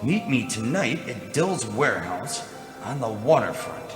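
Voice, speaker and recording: An actor playing a weary, cynical, wry private eye in a deadpan radio noir parody. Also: male voice, mid-range voice, measured pace, echoey room, over music. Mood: brooding.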